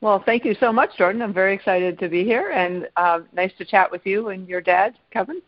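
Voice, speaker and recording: A woman's voice: medium pitch, fairly quick, dry recording. A woman in her sixties from Minnesota with an American accent, talking casually as an interview guest. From Minnesota, United States. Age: 60s. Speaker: podcast guest. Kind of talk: casual autobiographical interview talk. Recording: dull, lo-fi call audio.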